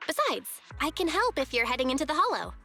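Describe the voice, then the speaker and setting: A woman's voice: high-pitched, very animated, fast, bright, forceful tone, soft background music, full character voice. A woman voicing a curious, helpful young video game character with an earnest, inquisitive manner. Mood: eager, curious.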